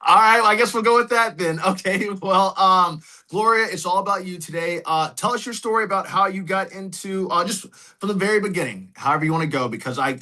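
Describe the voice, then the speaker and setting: A man hosting a podcast on a video call, upbeat and quick to stumble over his words when excited. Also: a little high. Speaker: podcast host. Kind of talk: casual interview hosting.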